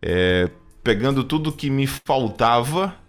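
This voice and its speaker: A man in his thirties talks in an earnest, halting way, often restarting his sentences. His voice rises and falls a great deal. Faint music plays behind him. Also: mid-range voice, expressive. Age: 30s. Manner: hesitant, many restarts and fillers, earnest. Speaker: radio program co-host.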